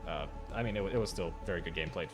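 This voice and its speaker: A teenage boy hosting a game tournament stream in a relaxed, upbeat manner. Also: low-pitched, racing. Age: teens. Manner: relaxed, upbeat, runs the lobby. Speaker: tournament host. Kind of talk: casual game stream chat. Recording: music playing behind.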